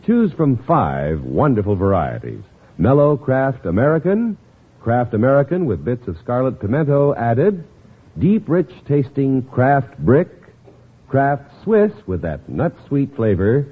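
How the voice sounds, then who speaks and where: A man's voice: medium pitch, sing-song, a little slow, slightly rough. A man announcing and reading ads in polished, salesman-like 1950s radio style. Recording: tinny old radio recording with music.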